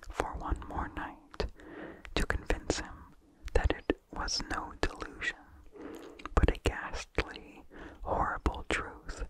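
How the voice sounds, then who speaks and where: A narrator reading a vintage horror story aloud in a breathy, unvoiced ASMR whisper, with measured, deliberate phrasing and soft mouth sounds close to the microphone. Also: low-quality recording. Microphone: close microphone with incidental mouth sounds.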